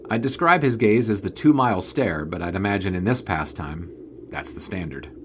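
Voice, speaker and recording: An actor playing a 1920s sports writer who narrates in a dry, wry, formal manner. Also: male voice, low-pitched, normal speed, a little hiss or hum, natural voice. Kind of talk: audio drama narration and acting.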